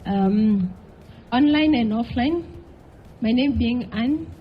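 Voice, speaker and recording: Panelist, woman, higher voice, friendly; lo-fi recording. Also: animated, takes it slowly, slight background noise. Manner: joking, many "um" fillers. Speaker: panelist, managing director. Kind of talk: panel introduction. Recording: dull lo-fi event audio.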